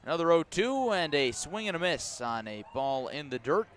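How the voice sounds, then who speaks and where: A man with an American accent doing conversational live radio baseball play-by-play, mixing clipped pitch calls with storytelling and wry asides. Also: sing-song, normal speed, a bit bright. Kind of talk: live radio sports commentary mixed with storytelling.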